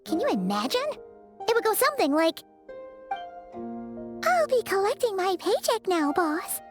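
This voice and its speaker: A woman's voice: very high-pitched, normal speed, music in the background. A woman voicing a tiny, chatty, childlike fairy companion in an anime-style game, bubbly and cheeky. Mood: puzzled, musing. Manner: speaks of self in third person, bubbly, expressive.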